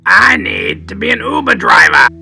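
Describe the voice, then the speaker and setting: A man voicing a depraved, scheming rat, a brash and raspy imaginary friend in a comedy puppet show. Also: sits high, big pitch swings, normal speed, soft background music, full character voice. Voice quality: raspy, gravelly. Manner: brash, sleazy, shouty.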